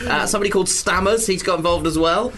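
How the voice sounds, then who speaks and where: Radio co-host, British male, high-pitched and lively, crude irreverent banter, faint noise behind. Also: fast. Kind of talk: casual comic banter.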